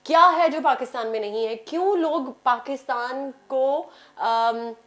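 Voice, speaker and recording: A woman's voice: sits high, measured pace, fairly bright tone. A woman with a Pakistani accent giving her opinions conversationally as a panel guest, mixing in English phrases. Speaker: talk show guest.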